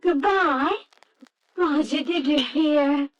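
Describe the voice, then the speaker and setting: An actress playing a grieving wife and mother in 1940s radio drama style, speaking in a measured, restrained, plaintive way. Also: high-pitched, highs cut off. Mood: eager, hopeful. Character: sad, weary grieving wife and mother, quietly resigned. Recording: noisy old radio drama recording.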